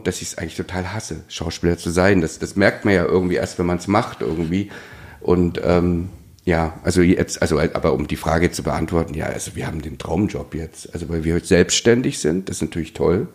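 A man's voice: low voice, moderate pace, darkish tone. A man co-hosting a casual chat, talking in an emphatic, chatty way with tag questions. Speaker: podcast co-host.

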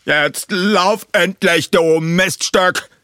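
A man's voice: high-pitched, drawn-out words, pushed and bright, clear, resonant tone, dry recording, pitched above the performer's own voice, exaggerated voice. A man voicing an irascible, abrupt old professor in his eighties for an audiobook story. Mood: furious.

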